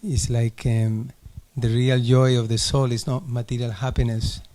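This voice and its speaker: A man reflects aloud in a group discussion. His tone is dark and very even, at a middling pitch. He is tentative, stopping and restarting while he shapes the idea. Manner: tentative, halting.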